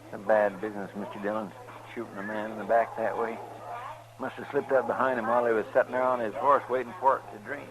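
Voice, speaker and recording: A man's voice: lowish voice, little pitch movement, quick pace, a crowd in the background, natural voice. A man playing a loyal, plainspoken frontier deputy in an old radio western, drawling, folksy and respectful. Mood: sober, earnest. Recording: noisy old radio recording.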